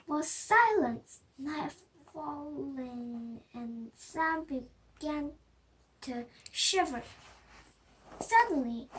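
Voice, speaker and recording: A girl of about seven reading a children's storybook aloud, halting and deliberate, with small stumbles. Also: high-pitched, a bit bright, a little hiss or hum, low-quality recording.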